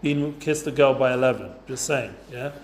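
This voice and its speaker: A man's voice: mid-range voice, moderate pace, a little hiss or hum. Chatty man in an echoey room. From North London, England. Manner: chatty, informal, lots of fillers and asides. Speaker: guest speaker for students. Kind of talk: casual talk to a youth group.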